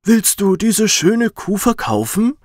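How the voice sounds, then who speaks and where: A coaxing old man from a storybook, put on by a narrator, a man. His pitch swings widely as he makes his offer, in a dry recording. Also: very animated, takes it slowly, darkish tone, dry recording, exaggerated voice.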